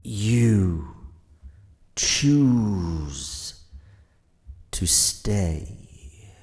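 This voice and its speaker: A man in an audio drama voicing an ancient, undying entity of the void, speaking in halting, solemn fragments. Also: on the low side, animated, a little mellow. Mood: grave, cryptic. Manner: halting, measured, solemn, breaking phrases apart.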